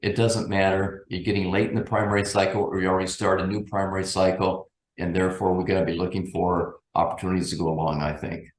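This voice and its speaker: A man giving unscripted market commentary as a guest analyst, thinking aloud in a measured, cautious way. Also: low-pitched, flat delivery, reverberant, rough recording.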